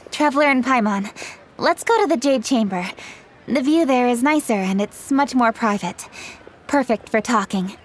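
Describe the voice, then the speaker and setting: A woman's voice: on the high side, a little slow, slight background noise, close to an everyday voice. A woman playing a dutiful, businesslike young female official in a video game, composed, polite and formal. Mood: composed, curious. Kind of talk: video game dub acting.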